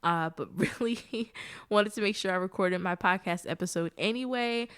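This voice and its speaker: A woman's voice: medium pitch, moderate pace, smooth. Nasal, congested woman podcast host. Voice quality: nasal from allergies. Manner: chatty and friendly, laughs often, sisterly asides. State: congested, blocked sinuses. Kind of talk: casual solo podcast chat.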